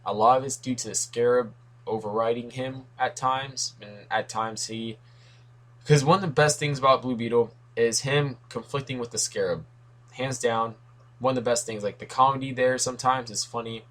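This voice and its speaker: A young man talks casually about comics, wandering into asides and correcting himself as he goes. His voice is a little worn, like he has been losing it. His pitch sits at an everyday middle level. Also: steady tone, moderate pace, fairly bright tone. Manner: rambling, self-correcting, lots of asides. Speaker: comics video host. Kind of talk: casual rambling commentary.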